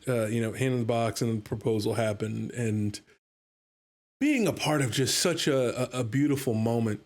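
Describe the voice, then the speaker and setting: A man hosting a casual podcast, talking enthusiastically in a rambling way with lots of fillers and repeated words. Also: mid-range voice. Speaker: podcast host and game master.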